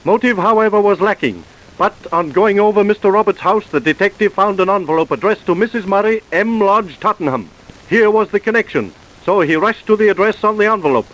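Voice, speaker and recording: A man's voice: sits high, a little fast, a bit bright, rough recording. A man narrating an old-time radio drama from a script.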